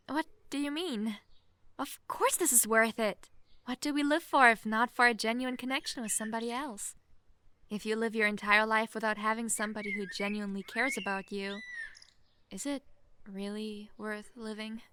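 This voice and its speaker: A young woman playing a sheltered, lonely princess who is playful, clingy and outspoken, in an expressive, chatty manner for an intimate roleplay audio drama. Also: mid-range voice, lightly acted. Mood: pleading, then lonely, reflective. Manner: expressive, chatty, swinging from giddy to wistful. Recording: faint background noise, hobbyist home microphone.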